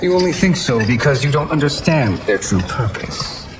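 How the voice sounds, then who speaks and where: An actor playing a scheming sorcerer villain in a video game, formal, persuasive and grandiose in delivery. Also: male voice, medium pitch, sing-song, moderate pace, far from the mic, background noise, natural voice. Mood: persuasive, lecturing. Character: scheming smooth-talking sorcerer villain, tempting would-be allies. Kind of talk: video game cutscene acting.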